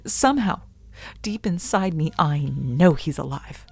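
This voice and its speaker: Low-voiced, intense young daughter, played by a woman. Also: expressive, unhurried, vocal fry, slightly rough, dry recording, exaggerated voice. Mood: wounded, insistent. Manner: earnest, intense. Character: anguished, stubbornly devoted young woman, professor's daughter. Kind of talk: melodramatic radio drama acting.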